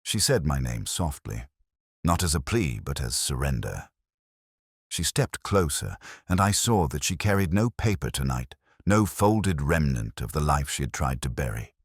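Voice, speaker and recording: A man with an extremely low voice reads a scripted mystery narration. His delivery is solemn and brooding, rising and falling expressively. The sound is close and dry, with little room around it. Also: very animated, normal speed, slightly rough, dry recording. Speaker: narrator of a detective story. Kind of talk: scripted literary narration, first-person mystery.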